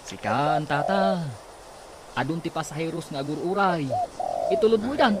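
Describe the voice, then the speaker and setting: A man's voice: on the high side, lively intonation, normal speed, lightly acted. A man playing a helpful, protective young man in an Ilocano radio comedy drama, speaking familiarly in an Ilocano accent. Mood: friendly, helpful. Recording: very noisy audio with bird sounds.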